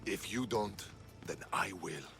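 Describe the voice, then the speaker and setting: An actor playing a proud, battle-worn Spartan soldier in a video game, terse and guarded. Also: male voice, deep voice, slow pace, gravelly, over music, full character voice. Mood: guarded, grim. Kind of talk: cinematic game acting.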